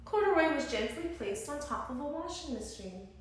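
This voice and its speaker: Echoey high-voiced woman reading to children. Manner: expressive, pauses to ask children questions. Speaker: early childhood teacher. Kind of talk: read-aloud storytelling to young children with questions. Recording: very echoey room, distant mic, faint noise.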